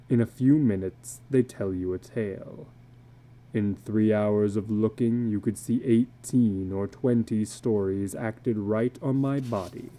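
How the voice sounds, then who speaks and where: Casting: a male reader as a haunted, bitter older carnival drifter from a short story; low, dark voice, grave and brooding. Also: gravelly, full character voice. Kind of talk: dramatic reading of a short story.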